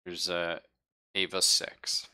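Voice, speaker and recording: A man narrating a live tabletop roleplaying game as game master, in a halting, conversational way. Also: low-pitched, monotone, bright, forceful tone, clear, resonant tone.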